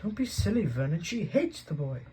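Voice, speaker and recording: A man reading an audiobook voices a snappish, fussy aunt who dotes on her son, in a shrill put-on voice. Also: very animated, moderate pace, warm tone, a little hiss or hum.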